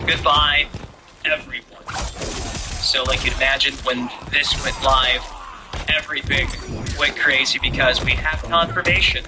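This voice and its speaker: A man giving casual video game news commentary as a YouTuber, informal and slangy, speaking straight to his viewers. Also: fairly high pitch.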